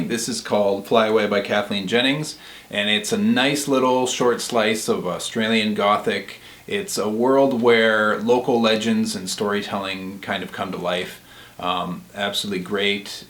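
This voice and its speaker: A man's voice: on the low side, fairly bright tone. A man co-hosting a book review, giving recommendations in a casual, conversational way. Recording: roomy, echoing sound.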